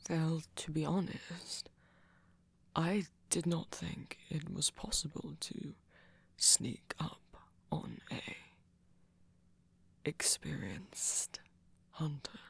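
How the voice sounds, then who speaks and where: Performer acting a breathy, flirty vampire fledgling, low and slow. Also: fairly even intonation, fairly bright tone, whispered, full character voice. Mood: teasing, coy. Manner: formal, careful phrasing, teasing. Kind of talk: breathy ASMR roleplay acting.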